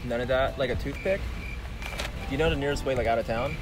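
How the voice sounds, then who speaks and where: A man's voice: mid-range voice, a little fast, background noise. A young man chatting casually as host of a prank vlog, in a hesitant, offhand way. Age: young adult. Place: inside a van at drive-thru windows. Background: loud music.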